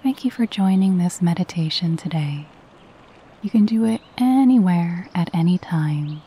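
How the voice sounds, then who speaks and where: A woman guiding a meditation in a soft, breathy voice, with a gentle, soothing and unhurried delivery. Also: fairly low pitch, animated. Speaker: meditation guide. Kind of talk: guided meditation with spoken affirmations.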